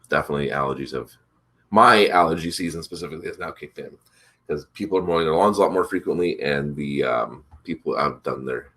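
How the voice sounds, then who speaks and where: Very deep-voiced stuffed-up man. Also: fairly bright tone. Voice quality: congested, slightly rough throat. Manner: rambling, with many fillers and restarts. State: stuffed up from allergies. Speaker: vlog host. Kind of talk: casual morning chat to camera.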